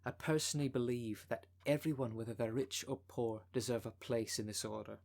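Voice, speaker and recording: A man playing a smooth-talking, con-artist female minister of a demon cult in an ASMR roleplay, with a polished, unctuous sales manner. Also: mid-range voice, steady tone, fairly quick, darkish tone. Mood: persuasive. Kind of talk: ASMR roleplay audio drama.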